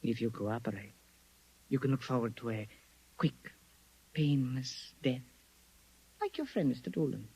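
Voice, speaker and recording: An actor in a 1950s radio drama playing a cruel, polite Martian invasion leader who speaks with deliberate, gloating menace. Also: male voice, medium pitch, vocal fry, warm tone, slightly rough, rough recording. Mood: suavely menacing. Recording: vintage 1950s radio recording.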